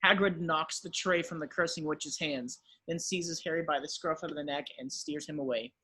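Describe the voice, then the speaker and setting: A man narrates stage directions for a table read. His voice sits a little high, husky yet bright and forward, in a scripted reading style. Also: fairly even intonation, normal speed, rough recording.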